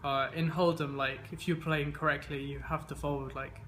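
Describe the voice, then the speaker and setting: A man's voice: a little high, level tone, moderate pace, background noise. A man in his twenties, raised in England, answering interview questions in an easygoing way with many fillers and restarts. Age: 20s. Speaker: interviewee, games player.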